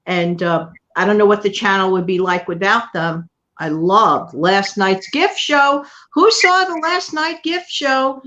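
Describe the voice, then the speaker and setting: A woman's voice: medium pitch, expressive, unhurried, hardly any pauses, bright, forceful tone, dull audio. A middle-aged woman hosting her channel in casual chat, rambling and laughing often. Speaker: channel host. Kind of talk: casual live-stream chat.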